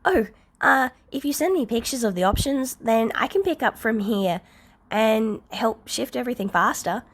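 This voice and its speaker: A woman's voice: a little high, animated, normal speed, pitched above the performer's own voice. A woman voicing a caring older girl from a story, casual and reassuring, cheerful with an awkward streak.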